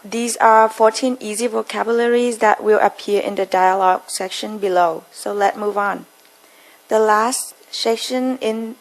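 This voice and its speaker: A woman's voice: medium pitch, creaky, poor audio. A young woman from Vietnam with a Vietnamese accent, giving a prepared classroom presentation in a formal, carefully enunciated manner. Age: young adult. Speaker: student presenter.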